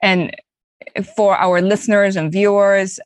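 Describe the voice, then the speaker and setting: A woman's voice: mid-range voice, steady tone, a little slow, no echo. A woman in her forties who grew up in Bolivia and speaks with a hard-to-place accent, chatting in a friendly way as a podcast co-host and news anchor. She laughs easily while she talks. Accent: hard-to-place mixed accent. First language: Spanish and Korean. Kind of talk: conversational podcast chat.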